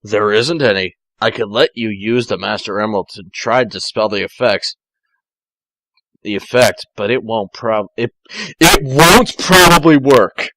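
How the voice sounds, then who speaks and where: A man voicing a gruff, scornful cartoon echidna guardian, speaking in a slow, halting, condescending way. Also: on the low side, a bit bright, no room sound, pitched below the performer's own voice, rough recording. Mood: resigned.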